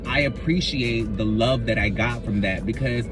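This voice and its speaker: A man with a big, expressive voice talks casually to the camera, circling back and repeating phrases as he goes. His pitch sits in a plain middle range. Music plays clearly underneath. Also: moderate pace. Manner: expressive, reactionary, rambling with repeats. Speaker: YouTuber. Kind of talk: casual talking-head vlog.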